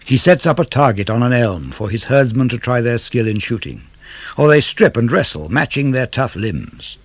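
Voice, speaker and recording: A man reads classical poetry aloud for radio. His phrasing is measured and declamatory, with a gravelly edge to the voice. The sound is dry and intimate. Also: mid-range voice, sing-song, no room sound. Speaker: poetry reader. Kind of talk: dramatic verse reading of classical poetry for radio.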